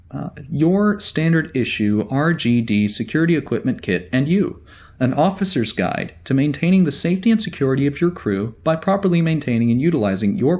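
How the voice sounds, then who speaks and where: A man playing a wry, easily exasperated security officer in a sci-fi audio drama, talking in a halting, conversational way. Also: medium pitch, darkish tone, close to an everyday voice.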